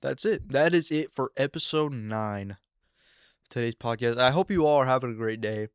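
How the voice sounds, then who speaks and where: An eighteen-year-old man hosting his own podcast, rambling casually with lots of fillers and excited asides. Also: medium pitch, normal speed, smooth, no echo, clean studio sound. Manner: rambling, filler-heavy, excitable teen talk. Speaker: podcast host. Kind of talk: casual solo podcast chat.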